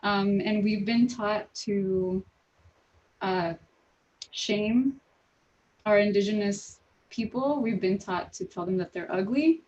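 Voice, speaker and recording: A woman speaking earnestly and deliberately, with pauses, in a casual panel discussion. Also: mid-range voice, darkish tone. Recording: dull lo-fi audio.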